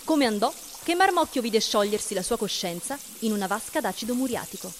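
A woman's voice: on the high side, lively intonation, fairly quick, a little hiss or hum. A woman reading scripted, theatrical chapter narration for a radio play, with music behind her. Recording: loud music under the voice.

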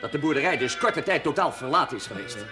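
A man acting as a dignified, pointed gentleman in an old TV drama, with music behind him. His formal delivery swings widely in pitch. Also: animated, fast, lightly acted. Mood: pointed, indignant.

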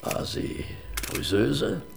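A man's voice: a little high, huge pitch swings, extremely slow, gravelly, noisy background, heavily acted. An actor playing a cynical male forensic doctor in a radio crime drama, making dry sardonic remarks.

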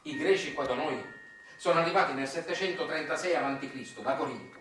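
A man's voice: a little high, fairly bright tone. An actor playing a wry, impassioned working-class Sicilian father in a theatrical monologue, declamatory and colloquial, with a Sicilian accent. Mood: fatherly. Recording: echoey distant stage recording with music.

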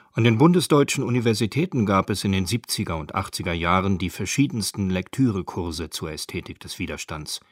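A man narrating a scripted radio documentary in a measured, factual way. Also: on the low side, no echo, clean studio sound. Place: dry studio.

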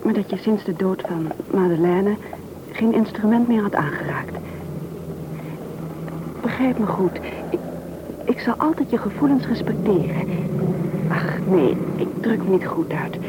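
An actress playing a tender, encouraging fiancée in an old Dutch radio play, earnest and affectionate. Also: female voice, mid-range voice, animated, a little slow, long pauses, lightly acted. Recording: dull, noisy old radio recording.

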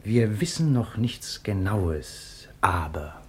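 An actor playing an earnest, stoic and protective police investigator in a 1950s radio play, speaking in a measured, sober way. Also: male voice, fairly low pitch, big pitch swings, darkish tone. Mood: sober, restrained.

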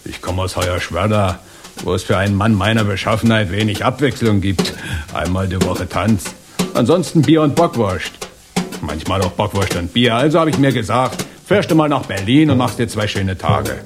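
An actor playing a boastful, stubborn East German construction worker, blunt and earthy in a satirical radio play. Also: male voice, on the low side, expressive, normal speed, music in the background, close to an everyday voice.

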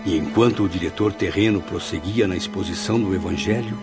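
A man's voice: sits low, fairly quick. An actor playing a humble, awestruck spirit who narrates his memoirs in a radio drama, earnest and reflective. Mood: reverent. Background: music.

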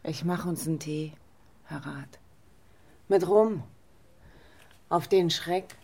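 A woman's voice: slow, warm tone, exaggerated voice. An actress playing a forthright middle-aged widowed landlady in an intimate radio play. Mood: shaken. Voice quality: low, husky, creaky. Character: forthright widowed landlady, fond of rum tea.

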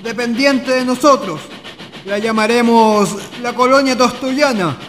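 A man's voice: very high voice, a little slow, a bit bright. A man playing an eager young writer in a radio drama, declaiming. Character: eager young male writer reading news to friends. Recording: long reverb, faint noise.

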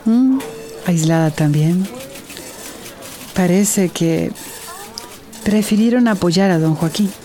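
Guilt-ridden female doctor, soft mellow tone, hesitant, radio drama. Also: lowish voice, soft background music. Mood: upset, regretful. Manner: halting, hesitant, repeats words. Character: troubled woman hospital doctor, guilty and defensive.

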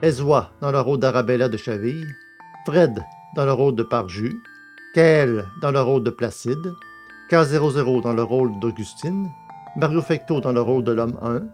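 A man's voice: medium pitch, normal speed, a little mellow. A man reading scripted credits as the announcer of an audio drama. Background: plucked guitar music.

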